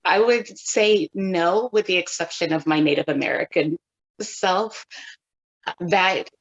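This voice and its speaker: A middle-aged woman from California, a photographer answering interview questions in a thoughtful, measured way with pauses. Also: on the low side, lo-fi sound. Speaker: guest photographer.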